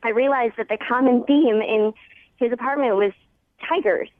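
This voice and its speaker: A woman's voice: on the high side, normal speed, dry recording. A woman talking casually on a telephone call to a radio show, a little hesitant and wryly amused. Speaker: phone-in guest. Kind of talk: casual phone conversation. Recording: thin narrow telephone line.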